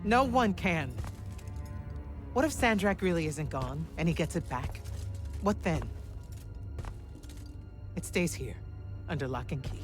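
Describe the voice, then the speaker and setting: Stern, biting army general. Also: female voice, low voice, lively intonation, unhurried, long pauses, music in the background. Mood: commanding. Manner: authoritative, biting, sardonic. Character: stern, commanding female army general, exasperated. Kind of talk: dramatic video game cutscene acting.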